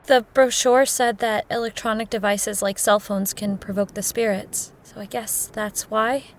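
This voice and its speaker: A woman's voice: mid-range voice, steady tone, unhurried, natural voice. An actress playing a wary, earnest female tourist in an audio horror drama, hesitant and uneasy.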